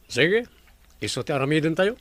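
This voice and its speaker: A man's voice: fairly high pitch, sing-song, moderate pace, a bit bright, no room sound. A Filipino man playing an eager, overbearing resort boss in a comedy radio drama. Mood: eager, insistent.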